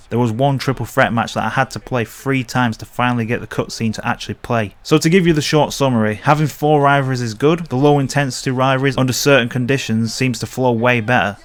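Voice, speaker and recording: A man narrating a scripted video game review as commentary. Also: mid-range voice.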